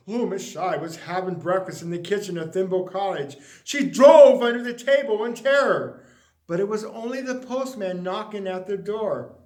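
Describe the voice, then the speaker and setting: A man with a high voice narrates a children's storybook in an echoey room. He reads expressively, stretching out key words for dramatic emphasis. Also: normal speed, darkish tone. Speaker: story reader.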